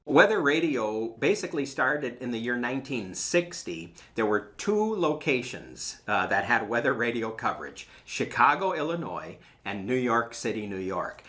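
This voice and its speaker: A man's voice: mid-range voice, sharp tone. A man speaking as a weather service meteorologist, explaining things informally and emphatically, with key phrases repeated. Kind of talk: explanatory interview talk.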